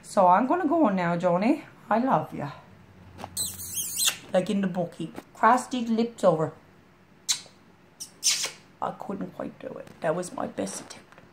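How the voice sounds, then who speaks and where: A hoarse woman with a chest cold, rambling in a folksy, heartfelt way as she chats to a friend. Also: medium pitch, expressive, a little slow, long pauses, background noise. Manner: rambling, folksy, full of "you know". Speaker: storytime vlogger. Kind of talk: casual heartfelt chat to a friend.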